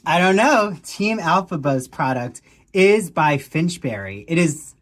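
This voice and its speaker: Woman, 30s, podcast co-host; low, gushing sponsor-read delivery, close and dry. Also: measured pace, no echo. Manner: gushing, animated, with theatrical gasps. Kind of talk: casual chat with sponsor reads.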